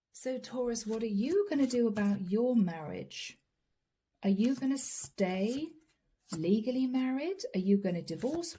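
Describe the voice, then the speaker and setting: A woman doing a channeled tarot reading straight to the viewer, earnest and apologetic, her voice going hoarse. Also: mid-range voice, dark tone. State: losing her voice. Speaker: tarot reader.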